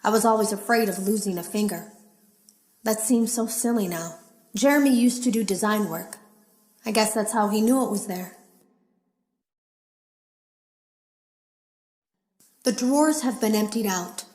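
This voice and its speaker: A woman's voice: mid-range voice, normal speed, darkish tone, natural voice. A woman playing a worried, earnest game tester leaving secret warning logs in a video game, sounding uneasy. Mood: troubled. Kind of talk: video game audio log acting. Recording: echoey audio log.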